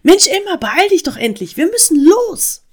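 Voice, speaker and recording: A woman voicing an urgent, excitable male frog in a children's story, insistent and exclaiming. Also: very high-pitched, moderate pace, pitched above the performer's own voice.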